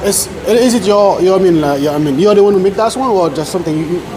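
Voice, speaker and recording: A man's voice: high-pitched, fast talker, a crowd in the background, rough recording. A man with a Haitian accent, a native Haitian Creole speaker, hosting a casual on-location interview in an informal, repetitive way. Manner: informal, repeats himself, often says "man". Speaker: host interviewing a chef for an online show.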